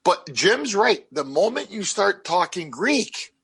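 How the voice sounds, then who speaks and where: A man's voice: high-pitched, very animated, slow, vocal fry, a bit bright, slightly rough. A man from Canada hosting a casual interview, an eager questioner who keeps repeating his points. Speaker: interview host.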